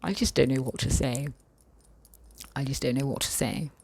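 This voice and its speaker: A woman vlogger chatting casually and thoughtfully with her viewers, often pausing and restarting her sentences. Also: a bass voice, creaky, a little mellow, husky, no room sound. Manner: thoughtful, hesitant, many fillers and restarts. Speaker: vlogger reading viewer comments. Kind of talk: casual chat.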